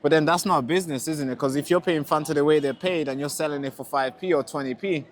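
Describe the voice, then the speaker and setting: A man's voice: fairly high pitch, fast. A young man from Tanzania debating a passerby in the street, pushing his point and repeating words as he presses it. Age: young adult. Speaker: street interviewer and rally speaker.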